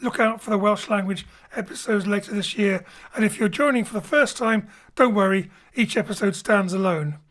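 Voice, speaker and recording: A man's voice: sits high, normal speed, few pauses, a bit bright. Guy who directs theatre doing an informal intro to a radio play, explaining stuff, home studio. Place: home studio, remote link.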